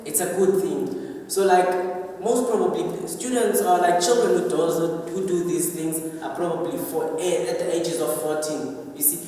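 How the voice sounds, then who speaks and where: Very low-voiced young South African woman, echoey. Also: mellow. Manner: halting, repetitive. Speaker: student panelist. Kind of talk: informal panel discussion. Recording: very echoey room, distant mic, faint noise.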